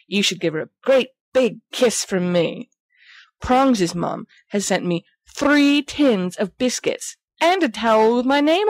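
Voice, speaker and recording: A woman plays a theatrical teenage schoolboy, vain and playful. The letters are read in a chatty, melodramatic way at an average pitch for a woman. The sound is dry, with no room echo, like a fan-made audiobook. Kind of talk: reading letters aloud in character, audiobook-like fan recording.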